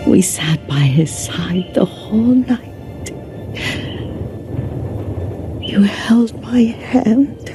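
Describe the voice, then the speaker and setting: Frail elderly woman, wistful, breathy, very slow, game cutscene, music behind. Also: low voice. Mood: wistful, weak. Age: older. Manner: slow, halting, reminiscing. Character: frail old woman caretaker, dying, tender and wistful. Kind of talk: dramatic game cutscene acting.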